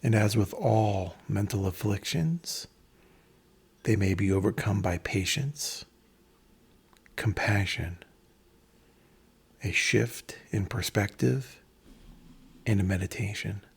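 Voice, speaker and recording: A man leading a guided meditation in a measured, instructional manner, pausing between phrases, with a breathy, husky voice. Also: lowish voice.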